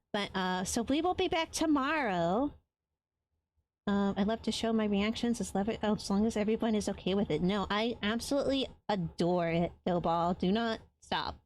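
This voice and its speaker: A woman from Latin America who streams games, chatting casually and affectionately with her live viewers, with frequent ums and a voice tired after a long stream. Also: fairly high pitch. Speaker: game streamer.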